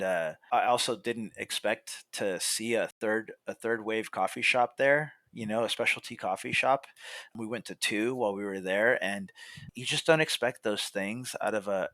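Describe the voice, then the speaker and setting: Young male podcast guest, easygoing storyteller with a lower voice, dry close-mic sound. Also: unhurried, no echo. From California, USA. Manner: relaxed, many fillers like "you know" and "like". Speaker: podcast guest, coffee roaster. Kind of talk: casual storytelling.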